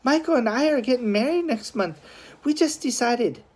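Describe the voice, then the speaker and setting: A woman's voice: on the high side, lively intonation, moderate pace, darkish tone, pitched above the performer's own voice. A woman voicing a scolding, fretful young woman in a storybook reading, emphatic and chiding. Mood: exasperated, bossy.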